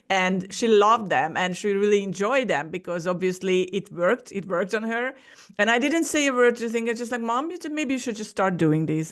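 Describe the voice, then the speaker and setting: A woman's voice: medium pitch, fairly bright tone. Casting: Hungarian-accented woman, forties, rambling guest with a mid-range voice. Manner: rambling, restarts her sentences often. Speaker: podcast guest. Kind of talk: casual interview talk.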